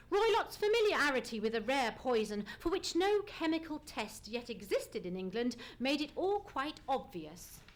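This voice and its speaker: Lady doing a sharp confident detective for an old time radio play, high swoopy voice, very precise and theatrical. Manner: precise, formal, theatrical deduction.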